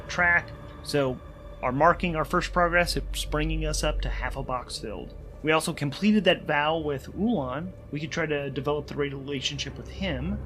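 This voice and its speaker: A man working as game master and host of a solo tabletop game, thinking aloud as he narrates rules and story. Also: on the high side. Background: music.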